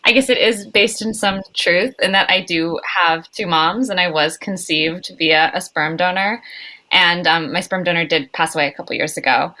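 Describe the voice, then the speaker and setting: A woman with a low but bright, forward voice. She talks as a playwright guest in a casual, reflective interview, tentative in her phrasing. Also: moderate pace.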